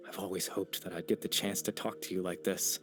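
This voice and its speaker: A man acting a gentle, melancholy low-voiced young man. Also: little pitch movement, fairly quick, close to an everyday voice. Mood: calm, resigned. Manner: soft-spoken, polite, wistful, hesitant. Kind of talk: video game voice acting. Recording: background music under the voice.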